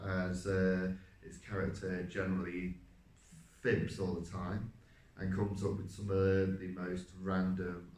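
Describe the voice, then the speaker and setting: A man with a deep voice, a stage actor talking through a role, hesitant with many fillers. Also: a little mellow. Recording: roomy, from a distance.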